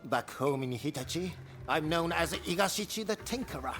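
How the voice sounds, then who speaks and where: An excitable tinkerer from a video game. His voice swings widely in pitch with a gravelly creak. He is theatrical and flustered, with faint music behind him. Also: normal speed. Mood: pleased, eager. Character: excitable eccentric male inventor and tinkerer. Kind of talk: video game cutscene acting.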